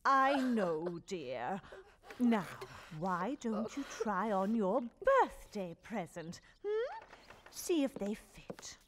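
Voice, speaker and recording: A soothing, kindly old woman in an animated cartoon. She speaks slowly and coaxingly, her pitch very varied, with clear noise behind her. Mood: consoling. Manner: gentle, coaxing. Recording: noisy background sound.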